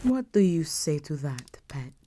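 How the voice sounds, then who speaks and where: A woman's voice: deep voice, very animated, measured pace, mellow, slight background noise. A woman playing a regal, possessive vampire countess in an intimate audio roleplay, speaking to the listener in a formal, imperious and seductive manner. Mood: commanding, persuasive.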